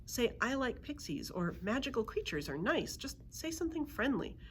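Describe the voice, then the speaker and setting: A woman's voice: fairly high pitch, sing-song, normal speed, slight background noise, voice pushed up. A woman voicing a kind, patient young girl in a children's storybook, encouraging and careful. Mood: coaxing. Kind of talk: children's storybook read-aloud.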